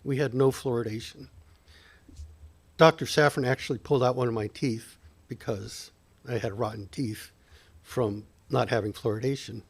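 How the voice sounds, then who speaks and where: A man from Ethiopia, a council member, stating his opinion at a public meeting in a deliberate, emphatic way. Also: mid-range voice, steady tone, husky.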